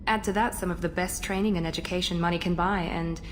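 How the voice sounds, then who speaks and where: Game role: woman as coolly businesslike female operative, self-assured, slightly low, faint background ambience. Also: fairly even intonation, quick pace, slight background noise, natural voice. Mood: confident, businesslike. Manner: confident, matter-of-fact, formal. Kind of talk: video game dialogue acting.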